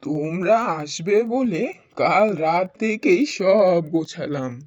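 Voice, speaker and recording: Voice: mid-range voice, slow pace, darkish tone, dry recording, muffled recording. A voice actor playing an old male caretaker in a cartoon, a scheming, fawning villain. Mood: welcoming. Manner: fawning, then confessing villain. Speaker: cartoon character voice.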